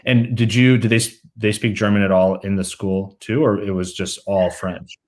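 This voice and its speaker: A man's voice: fairly low pitch, fairly even intonation, normal speed. A man hosting an interview podcast, asking curious questions with many restarts and repeated words. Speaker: podcast host. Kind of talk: casual interview.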